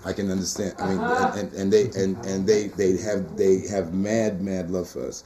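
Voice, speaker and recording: A middle-aged man with a tenor-baritone voice, talking in a casual interview as a poet and teacher, animated and emphatic with rhythmic repeated phrases. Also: normal speed, crowd noise.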